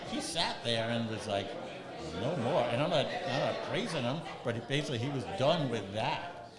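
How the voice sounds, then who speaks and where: A man's voice: mid-range voice, animated, moderate pace. A man guesting on a sports show, chatting casually and emphatically, with a habit of repeating himself. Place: live from a bar and grill. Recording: echoey bar with loud crowd. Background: loud bar crowd.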